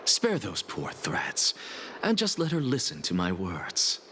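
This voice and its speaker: An actor voicing a strict, aloof male scholar and teacher who speaks in a cool, measured, disdainful way in a game cutscene. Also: lowish voice, huge pitch swings, fairly bright tone, slight background noise, heavily acted. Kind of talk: studio game voice acting. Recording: game cutscene with music behind.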